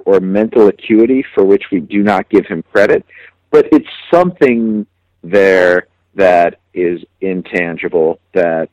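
A man giving casual sports analysis as a guest, reflective and halting, repeating words as he thinks. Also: deep voice, a little mellow, no echo, muffled recording.

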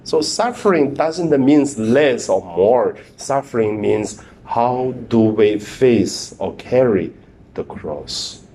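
A man, a priest whose first language is Mandarin, preaching a sermon in halting speech with many fillers and repeated words. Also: mid-range voice, lively intonation, mellow, slight background noise.

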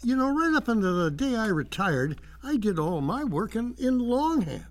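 An actor playing an elderly, courtly retired speech writer, befuddled yet proud, with halting, old-fashioned manners in an audio drama. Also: male voice, high voice, sing-song. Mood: courtly, reminiscing. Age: older. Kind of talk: satirical audio drama acting.

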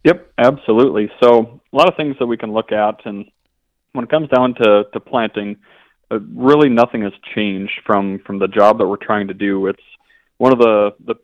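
A man's voice: fairly low pitch, moderate pace, no room sound. A man from an equipment dealership, explaining things as a radio guest and often restarting his sentences. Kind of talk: interview answers. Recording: dull, lo-fi line.

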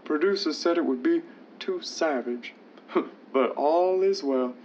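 Man acting a high-pitched old-time radio announcer. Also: unhurried, a little mellow, slight background noise, close to an everyday voice. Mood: breezy. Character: radio show writer and dramatic announcer, agreeable, glib. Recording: dull lo-fi sound with music.

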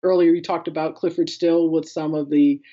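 A woman's voice: sits low, level tone, normal speed, dark tone, dull audio. A woman speaking as a curator in an interview, explaining in a discursive, conversational way. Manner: discursive, explanatory, quotes others in conversational paraphrase. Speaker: art curator being interviewed.

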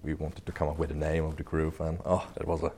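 Man, 30s, deep warm voice, relaxed rambling interview guest. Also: fast talker, a little hiss or hum. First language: Czech. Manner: loose and chatty, many fillers and restarts. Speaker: interview guest, pianist and researcher. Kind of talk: casual interview talk.

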